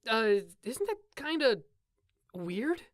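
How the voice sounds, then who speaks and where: A woman voicing a casual, excitable young male college student in a game fan dub. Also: fairly high pitch, takes it slowly, dry recording, studio quality. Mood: casual, puzzled. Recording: music playing behind the voice.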